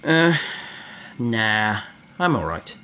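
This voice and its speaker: A man's voice: fairly low pitch, big pitch swings, bright, forceful tone, exaggerated voice. Distracted male spacefarer, acted by a man: understated, hesitant, naturalistic, faint background noise. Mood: subdued. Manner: hesitant, halting, subdued. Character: distracted, hesitant spaceship crewmate, quietly preoccupied. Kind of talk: naturalistic audio drama acting.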